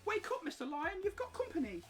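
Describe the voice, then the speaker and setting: A man voicing a tiny, meek but hopeful storybook mouse with a squeaky, peeping voice and polite manner. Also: huge pitch swings, fast, a bit bright, soft background music, full character voice. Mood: timid, polite. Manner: hesitant, polite.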